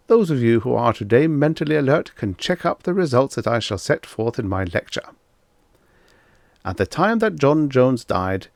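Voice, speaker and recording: Man playing a pompous professor, animated and creaky. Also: mid-range voice, no echo. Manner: didactic, declamatory, deliberate emphasis on figures. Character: pompous lecturing male professor of the future. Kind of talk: classroom lecture in an audiobook story.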